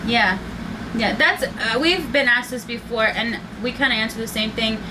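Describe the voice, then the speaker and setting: Family vlogger woman, chatty, giggly, slightly high voice. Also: moderate pace, noisy background. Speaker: channel host. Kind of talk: casual chat.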